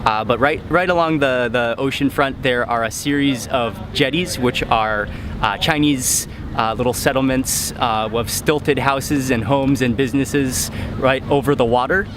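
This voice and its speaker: A man casually narrating a travel vlog while walking outdoors, relaxed and chatty with fillers. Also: medium pitch, measured pace. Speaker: travel vlogger. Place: outdoors on city streets. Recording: music bed and traffic behind.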